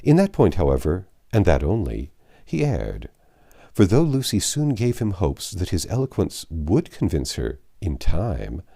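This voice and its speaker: A man reading a classic novel aloud in a measured, expressive way, with the odd slip and self-correction. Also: sits low, vocal fry. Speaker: audiobook narrator.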